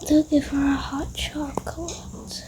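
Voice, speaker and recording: A young-sounding female creator softly narrating an improvised toy-game roleplay, often dropping into whispers. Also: high voice, steady tone, slow.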